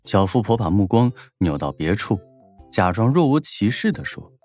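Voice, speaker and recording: A man's voice: fairly low pitch, very animated, normal speed, a little mellow, soft background music. A man narrating a scripted audiobook story in a wry storytelling manner.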